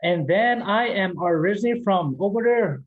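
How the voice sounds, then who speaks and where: A man's voice: sits high, lively intonation, normal speed, narrow, tinny audio. A man from the Navajo Nation in New Mexico, giving a casual motivational talk as guest speaker, joking and laughing often.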